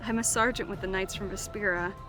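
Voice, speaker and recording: A woman's voice: medium pitch, steady tone, brisk, lightly acted. A woman playing a stern, blunt, scoffing knight sergeant in a fantasy audio drama. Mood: scornful, firm. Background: music.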